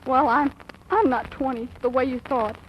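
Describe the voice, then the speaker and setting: Actress as gushy, melodramatic girl of fifteen, high-pitched, old muffled radio sound. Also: steady tone, moderate pace, close to an everyday voice. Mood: flustered, smitten. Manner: gushing, breathy hesitations and exclamations. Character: lovestruck, melodramatic fifteen-year-old girl, aspiring writer. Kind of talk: 1940s radio acting. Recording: muffled, noisy vintage radio recording.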